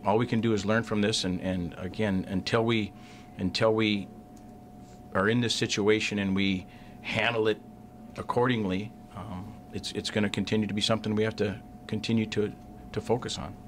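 Halting low-voiced coach at the press mic. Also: male voice, little pitch movement, a little hiss or hum. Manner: halting, repeats words, many restarts. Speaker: head hockey coach. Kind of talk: postgame press conference. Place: press room in front of a sponsor backdrop. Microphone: desk mic on a stand.

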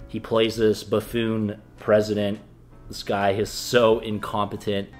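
A man's voice: fairly low pitch, little pitch movement, measured pace. A young man co-hosting a casual film review in a small indoor room, with faint music behind. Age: young adult. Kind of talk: casual movie review chat.

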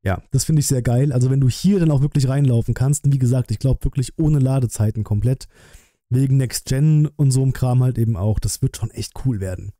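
Very dark-voiced man chatting casually. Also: mid-range voice, brisk, no echo, studio quality. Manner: colloquial, blunt, thinking aloud. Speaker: livestream host. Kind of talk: casual livestream chat and trailer reaction. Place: small decorated streaming room indoors. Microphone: close desk microphone, headset worn.

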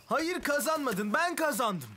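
A woman's voice: sits high, big pitch swings, measured pace. A woman voicing a cocky, playful young ninja boy with a teasing, boyish manner in a children's cartoon. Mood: defiant. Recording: music behind. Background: cartoon music.

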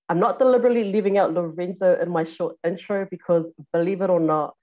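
An upbeat woman hosts a community health talk from her home, speaking through earphones on a video call. Her delivery is brisk and friendly. The audio sounds a little dull. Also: medium pitch. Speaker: show host. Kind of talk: hosting a health talk show. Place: home room. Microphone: earphones with mic on a video call.

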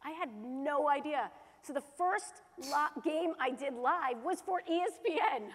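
A woman in her forties, a sports broadcaster, telling her career story quickly and animatedly in an on-stage conversation. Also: high-pitched. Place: large conference hall stage. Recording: hall reverb.

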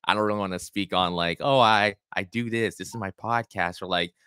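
A man's voice: on the low side, steady tone, brisk, pushed and bright, smooth, no room sound. A young man hosting a podcast from a small home studio, talking in a chatty, encouraging way. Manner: encouraging, chatty, repeats himself. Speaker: podcast host. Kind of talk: casual interview chat. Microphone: studio mic with headphones.

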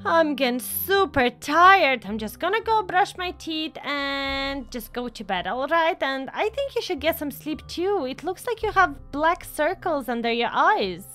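A woman's voice: high-pitched, sing-song, measured pace, hardly any pauses, pushed and bright, soft background music, full character voice. A woman playing a scheming, guilt-tripping mother in an amateur role-play story, with an emphatic way of talking. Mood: falsely sweet.

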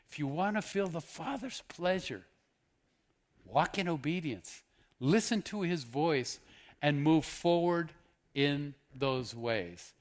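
A man in his fifties, a guest preacher giving an earnest sermon on a church stage, asking the audience questions. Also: a little high. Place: church stage with purple lighting.

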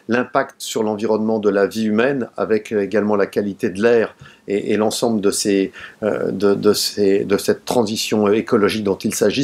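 Guy in his 50s, fairly low voice, mayor in an interview. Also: darkish tone. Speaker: mayor and association president. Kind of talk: interview testimony.

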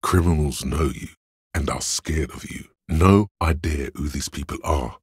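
An actor playing a cool-headed, analytical inner voice of logic in a video game, measured, deliberate and matter-of-fact, with a gravelly, husky bass. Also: male voice, dry recording, studio quality, full character voice. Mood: analytical, composed. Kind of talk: scripted game narration as an inner voice.

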